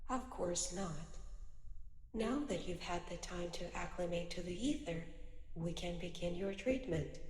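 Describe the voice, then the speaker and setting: An actress playing a calm, probing computer-generated nurse in a radio drama, speaking with composed, formal precision. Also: female voice, lowish voice, measured pace, slight background noise, lightly acted. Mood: clinical, explaining. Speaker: virtual nurse. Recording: echoey, long reverb.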